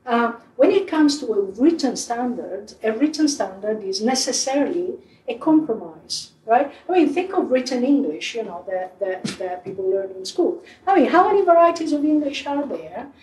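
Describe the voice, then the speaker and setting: A woman's voice: fairly high pitch, animated, moderate pace, a little mellow. Woman answering questions in a hall. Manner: frequent "you know" fillers, explanatory. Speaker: linguistics professor giving a public talk. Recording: echoey room, voice from a distance.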